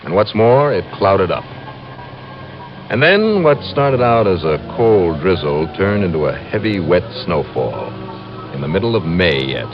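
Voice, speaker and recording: A man acting as a wry, brisk insurance investigator in a 1950s American radio drama, with clipped, punchy delivery. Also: low voice, big pitch swings, heavily acted. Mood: dry narration. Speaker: lead actor and narrator. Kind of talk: 1950s radio detective acting, first-person narration. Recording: muffled old radio recording with music.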